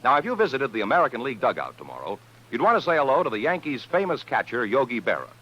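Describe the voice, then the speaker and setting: Dull vintage radio adman, very animated. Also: medium pitch, quick pace, husky. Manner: emphatic, polished sales delivery. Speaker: commercial announcer. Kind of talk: 1950s radio advertisement narration.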